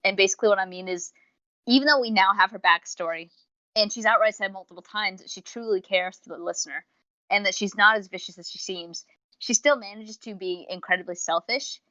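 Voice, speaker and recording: A woman's voice: medium pitch, a little fast, bright, forceful tone, no echo, lo-fi sound. A woman co-hosting a casual review show, giving her opinions at length and with emphasis. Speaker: podcast co-host.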